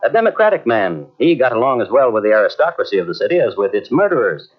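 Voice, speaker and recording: A man's voice: medium pitch, sing-song, quick pace. A man giving ornate, sardonic scripted narration for an old radio drama. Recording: muffled old radio recording.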